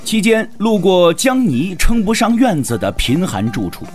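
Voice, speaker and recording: A male narrator tells a wuxia story in a slightly high, hugely varied voice. It is scripted dramatic storytelling over faint music. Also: very animated, normal speed, breathy edge.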